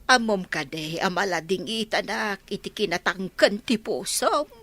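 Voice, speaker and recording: Woman as an animated, reproachful older mother. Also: medium pitch, measured pace, no echo. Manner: reproachful, exasperated. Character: exasperated older mother reproaching her hard-hearted daughter.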